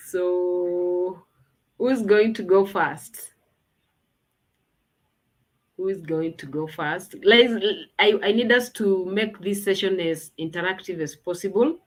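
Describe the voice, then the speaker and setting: Slow-talking low-voiced woman hosting online. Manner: chatty, thinking aloud with restarts. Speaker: host. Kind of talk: casual online event hosting.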